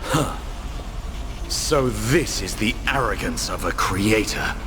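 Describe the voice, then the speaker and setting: A man's voice: fairly low pitch, animated, slow pace. A man playing a proud, scornful nobleman in a fantasy game cutscene, formal and theatrical. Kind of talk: dramatic game cutscene acting. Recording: long cavernous reverb, music behind.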